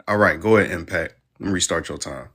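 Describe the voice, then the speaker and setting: A man's voice: low voice, quick pace, a bit bright, breathy edge. A man moderating a live panel debate, firmly cutting in and laying down the rules. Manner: firm, commanding.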